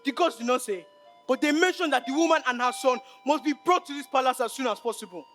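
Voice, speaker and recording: A man's voice: extremely high pitch, quick pace, pushed and bright, soft background music. A man playing a grave royal counsellor with a Nigerian accent, solemn and admonishing in a projected stage delivery. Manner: solemn, deferential yet admonishing, proverb-laden. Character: grave, reproachful royal counsellor relaying the gods' words. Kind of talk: projected stage play acting.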